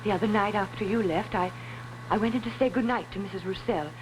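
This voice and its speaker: An actress playing an ambitious young pianist who is outwardly demure and secretly scheming, in 1950s television drama style. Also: female voice, mid-range voice, fast, lightly acted. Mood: troubled, polite. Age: young adult. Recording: noisy, aged 1950s television soundtrack.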